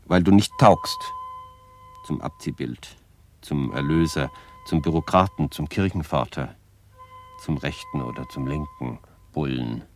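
An actor playing a solemn poet, reciting dramatic verse in measured, declamatory short phrases for a radio play. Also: male voice, very deep voice, soft background music. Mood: insistent, mournful.

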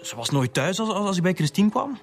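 A man's voice: sits high, big pitch swings, brisk, soft background music. An actor playing a nervous, evasive young Flemish man under questioning in a radio drama, hesitant and defensive. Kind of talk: Dutch-language radio drama acting.

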